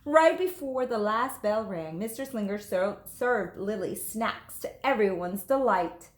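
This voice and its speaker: A woman teacher reading a children's story aloud, expressive and emphatic, speaking to young listeners. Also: mid-range voice, unhurried. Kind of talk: animated storybook narration. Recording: echoey room.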